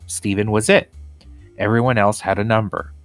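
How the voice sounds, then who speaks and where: A man's voice: fairly low pitch, fairly even intonation, normal speed, dry recording, soft background music. A man reading a children's book chapter aloud as scripted storybook narration.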